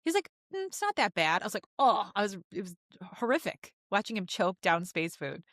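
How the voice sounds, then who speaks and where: A woman's voice: medium pitch, big pitch swings, fairly quick, a bit bright, dry recording, studio quality. A woman co-hosting a casual podcast chat, talking in an emphatic, exaggerated way. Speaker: podcast co-host.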